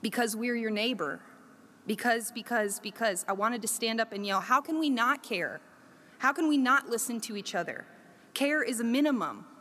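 A woman professor giving a reflective, prepared talk, earnest and measured, with emphatic lists. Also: a little high, expressive, sharp tone, in a large hall. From Korea.

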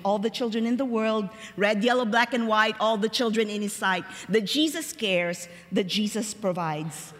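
A young woman speaks from a chapel stage, her voice a little high. She tells her story in a chatty, personal way, laughing easily and slowing down to stress key lines. Her words ring out with a long reverb through a large hall. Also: a bit bright. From Philippines. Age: young adult. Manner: conversational, laughs easily, slows for emphasis. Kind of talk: personal testimony sermon.

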